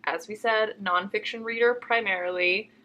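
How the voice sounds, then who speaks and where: A woman's voice: mid-range voice, steady tone, normal speed, sharp tone. A young woman hosting a casual book vlog with her sister, chatting in a bubbly, enthusiastic way. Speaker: book vlogger and host.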